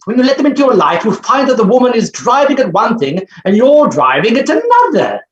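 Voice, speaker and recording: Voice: medium pitch, very animated, fairly quick, lo-fi sound, natural voice. An overbearing bachelor professor as acted in a play reading, pompous and dismissive. The delivery swings widely and theatrically, with a roomy echo around it. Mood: exasperated musing. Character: arrogant, blustering bachelor phonetics professor, male, overbearing. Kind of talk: staged play reading, stage acting. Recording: roomy, echoing sound.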